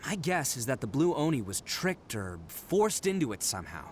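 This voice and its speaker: A man's voice: mid-range voice, very animated, moderate pace, slight background noise, lightly acted. A man voicing a boisterous, proud young male Oni gang leader in a game cutscene, given to hearty laughs. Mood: earnest. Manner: boastful, hearty laughs, earnest when serious.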